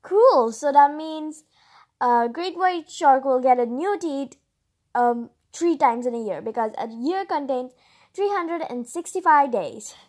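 A female host reading a children's picture book aloud, expressively, with chatty asides and drawn-out emphasis. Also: high voice, expressive, a little mellow.

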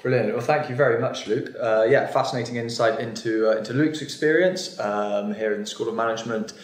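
A man's voice: mid-range voice, brisk, roomy sound. A young man hosting a university open day panel, upbeat with many fillers, filmed from across a meeting room. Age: young adult. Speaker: host. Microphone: far camera.

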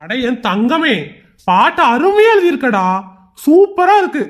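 A woman's voice: high-pitched, big pitch swings, a little slow. A woman voicing a teasing but affectionate father. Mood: doting.

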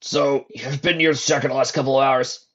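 A woman's voice: very deep voice, fairly even intonation, fairly quick, muffled recording, pitched above the performer's own voice. A woman playing a suspicious, demanding older man, a grim great-uncle in a story. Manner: tense, demanding, muttering.

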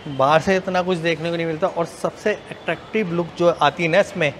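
A man, giving an informal, brisk sales walkthrough as a car dealer. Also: on the high side, fairly even intonation, a bit bright. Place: indoor basement car showroom. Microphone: clip-on lapel mic. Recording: faint ambient noise of indoor car park.

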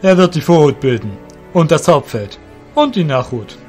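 Cruel guild master, acted by a man: highish, mocking, used to giving orders. Music bed. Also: expressive, moderate pace, darkish tone, lightly acted. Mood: commanding. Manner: mocking, commanding. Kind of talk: hobby audio drama character acting.

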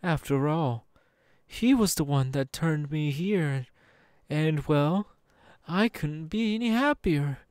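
A smug genteel demon, slow, lilting, falsely sympathetic, theatrical, ASMR roleplay, close dry sound. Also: male voice, on the high side, lively intonation, a little mellow, clear, resonant tone, no room sound. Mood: coaxing. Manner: slow, theatrical, drawn-out pauses.

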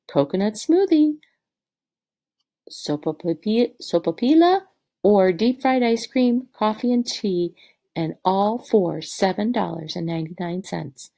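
A woman's voice: medium pitch, dark tone. A woman teacher reading a picture book aloud to third graders, expressively and with direct questions to the children.

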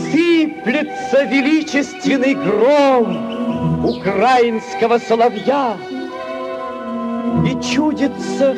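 Неторопливого, восторженного рассказчика. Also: male voice, very high voice, exaggerated voice. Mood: exalted. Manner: lyrical, theatrical declamation with long pauses. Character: rapt lyrical male storyteller. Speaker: narrator. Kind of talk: 1940s radio drama literary narration. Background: prominent music.

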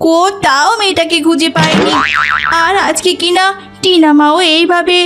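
A woman's voice: very high-pitched, fairly even intonation, normal speed, music in the background. A woman playing a caring, fretful mother tigress in a cartoon, with a motherly, questioning, scolding manner. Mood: worried. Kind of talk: cartoon voice acting.

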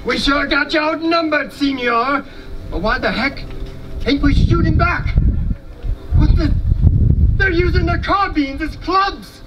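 A man's voice: very high voice, measured pace, pauses often, lo-fi sound, noisy background. A man playing a brash, scornful US Army dragoon in a live historical reenactment, blustery and folksy in manner. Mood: excited. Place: outdoor stone amphitheater in open scrubland. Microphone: stand mics, recorded from a distant camera. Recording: outdoor stage from far off.

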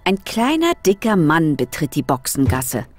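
A woman's voice: sits low, huge pitch swings, normal speed. A woman narrating a scripted children's story for an audio play. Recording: music under the voice.

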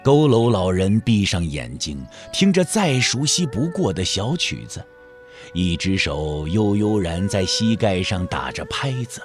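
Animated male narrator over music. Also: on the low side. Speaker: audio drama narrator. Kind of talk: scripted literary narration of a martial-arts novel.

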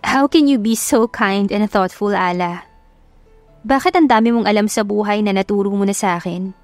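A woman voicing a spirited young rich woman in an audio love story, brash but softening, mixing English into her speech. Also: medium pitch, normal speed, dry recording, soft background music, close to an everyday voice. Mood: grateful, sincere.

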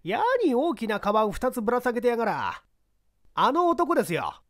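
A woman's voice: a little high, big pitch swings, fairly quick, no echo, clean studio sound, pitched above the performer's own voice. A woman voicing an eager, talkative male junior newsman in an audiobook drama. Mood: excited. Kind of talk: audiobook character acting.

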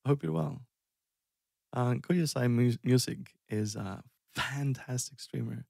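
Man, thirties, from Iceland, music streamer, husky, rambling self-deprecating chat, headset mic in a dark room. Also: mid-range voice, slow pace, no room sound, clean studio sound. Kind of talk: casual live-stream chat.

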